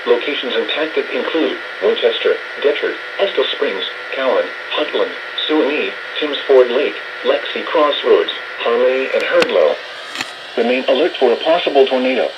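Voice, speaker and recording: A synthesized man's voice reading scripted emergency weather warnings as an automated announcer, in a flat, measured delivery over weather radio. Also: mid-range voice. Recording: muffled, noisy weather radio broadcast.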